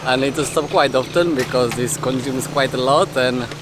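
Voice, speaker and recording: A man's voice: mid-range voice, moderate pace. Man vlogging casually over faint outdoor noise. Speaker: travel vlogger. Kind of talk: casual, unscripted vlog talk. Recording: noisy outdoor and in-car vlog audio.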